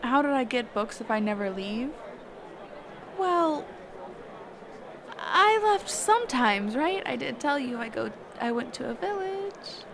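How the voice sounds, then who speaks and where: A woman playing a sheltered, curious mage teacher in a conversational roleplay audio drama, halting and often correcting herself. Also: high voice, big pitch swings, gravelly, a crowd in the background, background noise, heavily acted. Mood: flustered, curious. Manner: halting, hesitant, trailing off and self-correcting.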